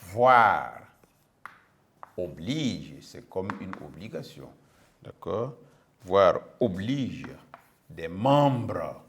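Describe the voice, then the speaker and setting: A man's voice: deep voice, big pitch swings, extremely slow, long pauses, slight background noise. A male history teacher, giving a didactic lesson, dictating and repeating phrases with frequent check-in tags. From Burkina Faso. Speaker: middle school history teacher.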